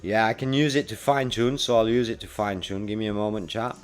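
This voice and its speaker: A man's voice: on the low side, quick pace. A man in his forties talking casually to livestream viewers in a laid-back, slangy way. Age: 40s. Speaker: livestreamer and freelance web developer. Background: music playing.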